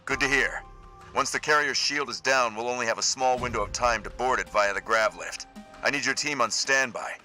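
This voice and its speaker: A man playing a steady supersoldier field commander in a video game, calm and clipped in military style. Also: fairly quick. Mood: composed, resolute. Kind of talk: video game cutscene acting. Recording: loud music and vehicle rumble behind.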